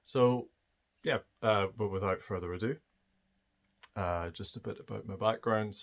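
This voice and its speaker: Thoughtful Scottish-accented man, low voice. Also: unhurried. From Scotland. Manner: thoughtful, hesitant, many fillers and restarts. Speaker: channel host. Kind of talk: personal storytelling to camera.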